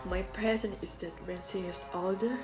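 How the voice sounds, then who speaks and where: A cruel evil fairy from a fairy tale, acted out by a woman storyteller. She gloats with menace and relishes her spite, while music plays clearly behind her. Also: medium pitch, fairly even intonation, moderate pace, natural voice. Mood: gloating, menacing.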